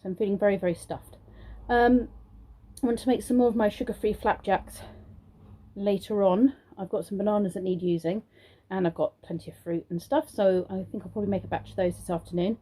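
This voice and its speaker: A woman's voice: medium pitch, fairly even intonation, a little fast, mellow. Congested British woman, chatty vlog. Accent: British English. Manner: chatty and rambling, many ums, sing-song praise when talking to children. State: congested, throaty from a sore throat. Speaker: vlogger and childminder. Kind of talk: casual vlog chat to camera. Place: at home, indoors.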